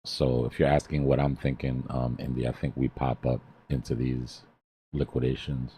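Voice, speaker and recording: Man, extremely low pitch, mellow tone, blunt emphatic delivery, casual live stream host. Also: little pitch movement, normal speed, slight background noise. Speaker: trading educator hosting a live stream. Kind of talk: casual mentoring chat.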